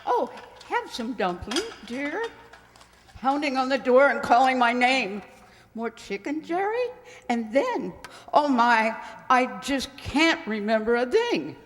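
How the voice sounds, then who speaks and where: A woman acting a fluttery, slow-paced lady librarian. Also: sits high, very animated, full character voice. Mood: fluttery, dazed. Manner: fussy, dramatic, digressing. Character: fluttery, chatty, indignant lady librarian, absentminded hostess. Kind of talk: old-time radio mystery acting. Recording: reverberant hall.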